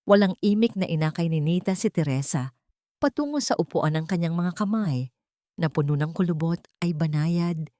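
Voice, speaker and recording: A woman narrates a dramatic audio story. Her voice sits on the low side for a woman, and she reads from a script as a storyteller. The recording is very dry, with no room sound. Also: expressive, moderate pace, dark tone, no room sound.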